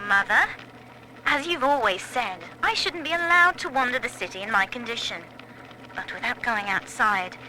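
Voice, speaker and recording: A woman's voice: sits high, bright, forceful tone, a little hiss or hum, full character voice, low-quality recording. A woman playing a sheltered, curious young daughter, polite but needling, in a video game audio log.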